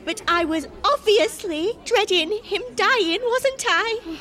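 A woman's voice: extremely high pitch, lively intonation, a bit bright, voice pushed up, heavily acted. Woman doing a sobbing teenage schoolgirl. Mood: tearful. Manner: slow, wailing, tearful. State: crying. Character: tearful teenage schoolgirl, dramatic and grieving.

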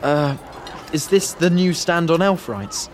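A woman's voice: lowish voice, lively intonation, slow, a little hiss or hum. A woman reading an audiobook, voicing a wry, teasing teenage schoolboy.

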